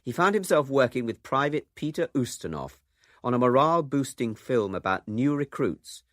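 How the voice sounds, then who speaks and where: A man's voice: medium pitch, sing-song, normal speed, fairly bright tone, dry recording, studio quality. A man presenting a documentary in scripted narration.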